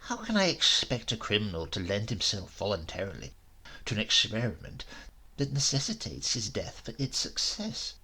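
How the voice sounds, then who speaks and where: Man acting a pompous, gravelly mad professor. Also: fairly low pitch, wildly swooping pitch, normal speed, sharp tone, pitched below the performer's own voice, heavily acted. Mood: brooding. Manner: pompous, theatrical declaiming. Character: obsessed, callous Italian professor, pompous and self-pitying.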